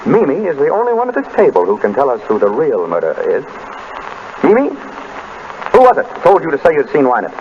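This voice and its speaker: On a muffled, noisy old radio recording, a shrewd American detective, urbane and deliberate. His fairly high voice moves with lively, theatrical inflection. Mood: measured, explaining. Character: suave, shrewd detective husband revealing a murderer at dinner. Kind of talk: 1930s radio drama acting.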